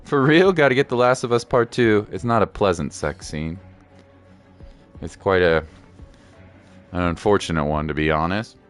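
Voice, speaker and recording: A man's voice: low voice, normal speed, pauses often, clean tone. A man giving casual, playful livestream commentary over gameplay, often repeating his phrases for emphasis. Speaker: game streamer. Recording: game music behind voice. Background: game music.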